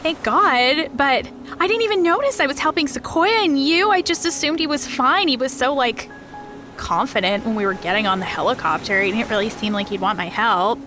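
A woman's voice: high-pitched, lively intonation, fairly quick. A woman playing a hesitant, chatty young contestant in a comedic audio drama, rambling casually. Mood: sheepish. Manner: rambling, filler-heavy, casual. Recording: music behind the voice.

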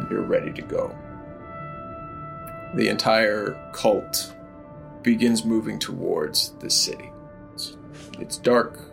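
A man narrating a tabletop game as game master, halting with fillers, over faint music. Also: lowish voice, vocal fry, fairly bright tone.

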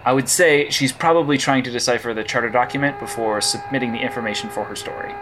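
A man's voice: mid-range voice, quick pace, noisy background, lightly acted. An actor playing a dry, wisecracking male vigilante detective who dictates case logs. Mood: focused. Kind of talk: audio drama acting.

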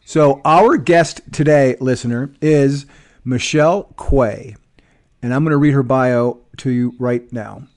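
A man introduces his guest on a podcast. He reads the bio aloud at a deliberate, measured pace. His voice sits at a middle pitch. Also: darkish tone. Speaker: podcast host.

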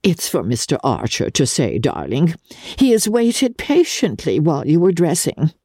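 An older society aunt, played by a woman narrator, husky and mellow. She is arch and genteel, drawing out her words as in a classic storybook. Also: low voice, big pitch swings, normal speed, dry recording, studio quality, voice pushed up, exaggerated voice. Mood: arch, coy.

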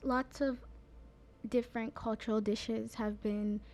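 A young woman host reading prepared interview questions and comments in an even way. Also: a little high, unhurried. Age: young adult. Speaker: youth interviewer. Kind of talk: scripted interview questions and narration.

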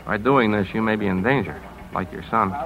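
An actor playing a principled, defiant newspaper editor in earnest, clipped 1950s radio drama style. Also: male voice, on the low side, brisk, vocal fry, highs cut off. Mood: grave, concerned. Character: crusading, defiant male newspaper editor, weary but principled. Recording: 1950s radio broadcast recording.

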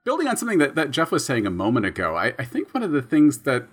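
A man chatting casually as a podcast guest about sound design, animated and laughing between phrases. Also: medium pitch, fast, slightly rough. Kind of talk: casual chat.

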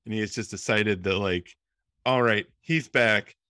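A man's voice: lowish voice, measured pace, bright, forceful tone, smooth, dry recording, studio quality. A man co-hosting a casual podcast, recapping a film's plot while musing and hedging aloud. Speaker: podcast co-host. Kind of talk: casual movie discussion.

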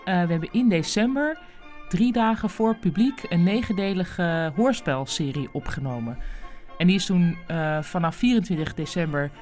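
A woman's voice: lowish voice, expressive, normal speed, darkish tone, soft background music. A woman co-hosting a podcast, chatting casually through a spoken introduction. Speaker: podcast co-host and writer.

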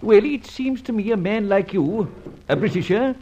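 An actor playing a debonair, mocking gentleman adventurer, suave and theatrically polite, in an old-time radio serial. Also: high-pitched, animated, normal speed, a little hiss or hum. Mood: mocking, commanding. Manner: suave, teasing, theatrically polite, hesitant drawl. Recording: muffled vintage radio serial recording.

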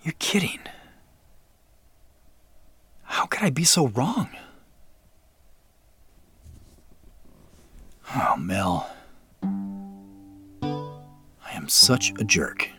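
An actor playing a remorseful, self-doubting man in an audio drama, muttering to himself in a halting, husky voice with sighs. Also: fairly low pitch, wildly swooping pitch, bright, forceful tone, dry recording, soft background music, full character voice. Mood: stunned, remorseful. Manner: halting, sighing, self-reproachful. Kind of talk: naturalistic audio drama acting.

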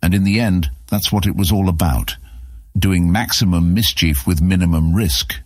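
A man's voice: low-pitched, moderate pace, dry recording, clean studio sound. A man narrating a scripted fantasy story as an audiobook narrator.

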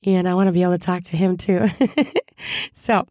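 Hoarse woman host, cheerful and chatty, lower voice, dry close recording. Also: steady tone, normal speed, mellow, no room sound. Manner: chatty, upbeat, laughs often. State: hoarse from a cold. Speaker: podcast host. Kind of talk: casual solo podcast chat.

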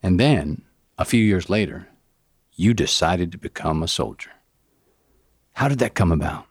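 A male host asking an interview guest questions in a measured, composed way. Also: low voice, expressive, breathy edge, no room sound, clean studio sound. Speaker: podcast host.

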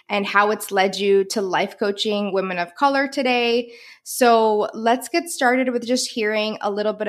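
A woman's voice: medium pitch, fairly bright tone. A woman hosting a podcast, talking casually and with enthusiasm over a remote call. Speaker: podcast host.

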